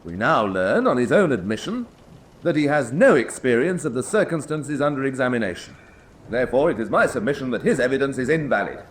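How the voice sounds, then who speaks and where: A man plays a persistent military defense counsel in a radio drama. His medium-pitched voice is highly animated, courteous on the surface and pointed underneath, over faint background noise. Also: brisk, lightly acted. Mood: objecting, indignant. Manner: polite, pointed, argumentative. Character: wry, persistent army major defending at court-martial.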